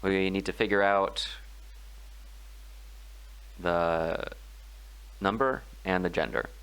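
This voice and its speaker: Slow, deep-voiced man teaching grammar. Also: fairly bright tone, smooth, poor audio. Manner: slow, measured explaining with frequent ums and pauses. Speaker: language tutor. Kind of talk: screen-recorded grammar tutorial.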